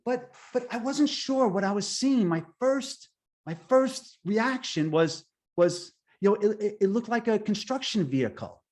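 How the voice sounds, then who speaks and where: A middle-aged man from Long Island with a Long Island accent, a guest speaker telling his own story haltingly, with many restarts and fillers. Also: high-pitched, lively intonation, a little mellow. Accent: Long Island New York. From Long Island, New York. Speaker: guest speaker, near-death experiencer. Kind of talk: personal storytelling.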